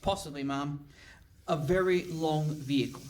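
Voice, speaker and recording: A man playing a deferential, hesitant, deadpan detective sergeant in a comic radio play. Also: a little high, a little slow, close to an everyday voice. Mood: earnest, hesitant. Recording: live hall stage, distant mic, faint noise.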